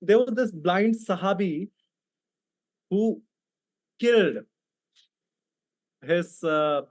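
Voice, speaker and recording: A man's voice: sits high, expressive, extremely slow, dry recording. A man with an Indian accent, speaking English as a non-native language, argues confrontationally in a debate and reads out texts. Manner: confrontational, rhetorical questions. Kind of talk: argumentative debate, reading out texts.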